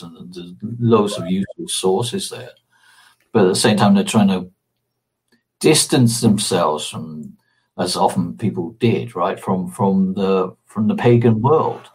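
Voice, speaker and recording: A man's voice: fairly low pitch, a little mellow, breathy edge, rough recording. A man in an informal discussion, explaining history as a guest in a hesitant way, often rephrasing as he goes. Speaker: interview guest on magic and ancient religion.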